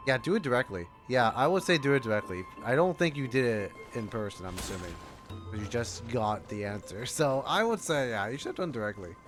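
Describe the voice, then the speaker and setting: A man's voice: medium pitch, animated, a little fast. A man livestreaming a game, reacting casually and reading text aloud in informal, self-interrupting chatter. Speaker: game streamer. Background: game music.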